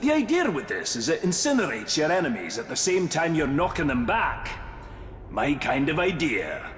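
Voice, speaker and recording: Wry dwarf smith. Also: male voice, fairly high pitch, wildly swooping pitch, normal speed, fairly bright tone, echoey room, in a large hall, a little hiss or hum. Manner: dry, wry humor. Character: gruff dwarf smith living alone, wry. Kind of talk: video game cutscene voice acting. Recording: game audio with music behind.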